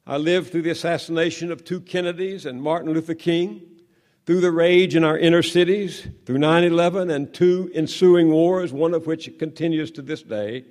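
An older journalist from the American South gives a measured speech, his voice sitting a touch high for a man. He takes slow, dramatic pauses, and his phrases sink to a near hush. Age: 70s.

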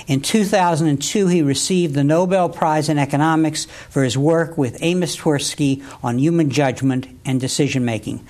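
A man's voice: a little high, darkish tone. A man hosts a formal academic conversation, speaking in a slightly raised register. He reads a polished introduction, then asks questions hesitantly, repeating words as he goes. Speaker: host, interviewer. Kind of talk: academic interview.

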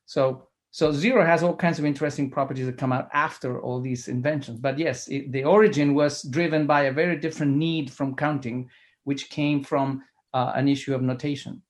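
A man's voice: mid-range voice, fairly even intonation, a little fast. A man answering as a panelist, hesitant and full of fillers.

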